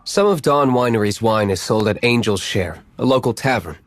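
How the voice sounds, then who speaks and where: A man's voice: lowish voice, lively intonation, normal speed, soft background music, close to an everyday voice. An actor playing an aloof, brooding young nobleman in an anime-style game, cool, curt and serious.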